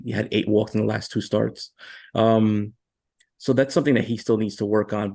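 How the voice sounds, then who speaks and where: Male sports panelist, low creaky voice with flat delivery, casual analysis. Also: no echo. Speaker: sports live stream panelist.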